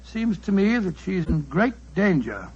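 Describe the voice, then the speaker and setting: Loyal worried English gentleman, British accent, creaky, earnest, dull old radio recording. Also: high-pitched, big pitch swings, measured pace, exaggerated voice. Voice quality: husky, creaky. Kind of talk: old-time radio drama acting.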